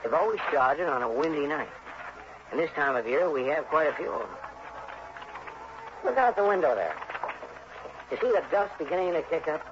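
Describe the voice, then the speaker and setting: An actor playing a harried, chummy insurance agent in an early 1960s radio drama, earnest, hurried and folksy. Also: male voice, on the high side, animated, music in the background, lightly acted. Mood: worried. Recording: noisy, dull vintage radio recording.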